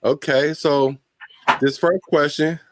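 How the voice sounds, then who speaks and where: A man's voice: fairly high pitch, fairly even intonation, slow, a bit bright. Laid-back joking male host. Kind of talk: casual chat.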